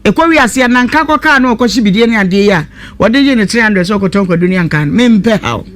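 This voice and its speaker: A woman's voice: mid-range voice, lively intonation, fast. A woman with a Ghanaian accent hosting a live radio talk show, questioning and commenting in a blunt, probing way.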